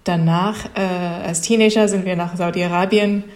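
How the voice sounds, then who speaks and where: A woman's voice: fairly low pitch, steady tone, normal speed, darkish tone. Female guest in her 30s, chatty and hesitant. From Japan. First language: Japanese. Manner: chatty, laughs often, many fillers. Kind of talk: casual interview.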